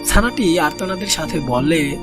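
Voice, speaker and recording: A man's voice: on the high side, lively intonation, measured pace, music in the background. A man narrating a scripted children's story as the storyteller.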